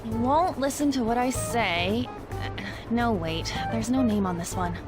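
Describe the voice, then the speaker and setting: A woman's voice: a little high, a little slow, over music, lightly acted. A woman playing a level-headed, inquisitive teenage girl in an English video game dub, earnest and questioning. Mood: reading out.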